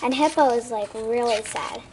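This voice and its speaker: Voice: medium pitch, moderate pace, rough recording. A child reading a picture story aloud expressively, with sound effects and asides to young listeners. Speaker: storybook reader.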